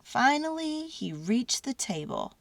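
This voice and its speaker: A woman reading a children's storybook aloud dramatically, with theatrical, deliberate phrasing and drawn-out words. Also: high voice, very animated, fairly bright tone, dry recording.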